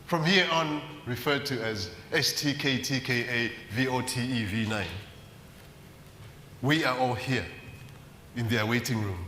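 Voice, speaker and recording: A man performing spoken poetry in a deliberate, rhythmic way with dramatic emphasis, recorded in a hall. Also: medium pitch, sharp tone. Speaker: poet. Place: large reverberant hall at a live event. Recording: echoey hall, faint background noise.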